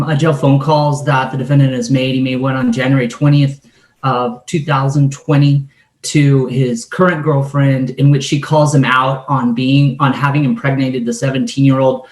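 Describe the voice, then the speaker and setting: Male courtroom attorney, middle register, measured formal argument with some hesitation, strong room echo. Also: mid-range voice, fairly even intonation.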